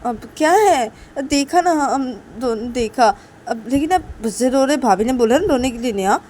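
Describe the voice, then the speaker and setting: Woman acting cheeky young man, audio story, raised pitch, loud teasing, exasperated questions, light background noise. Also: lively intonation, normal speed.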